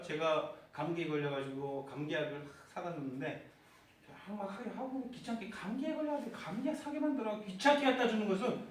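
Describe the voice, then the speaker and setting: A man preaching a sermon as pastor, asking the congregation questions and rising to fervent, shouted prayers. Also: sits high. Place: church sanctuary. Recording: echoey church hall heard from far off.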